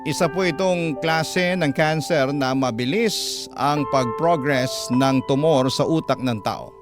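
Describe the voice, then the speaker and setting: A man hosting a radio program, reading a letter as dramatic, emotive narration with deliberate delivery. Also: on the high side, music in the background. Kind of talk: dramatic scripted narration in classic radio style.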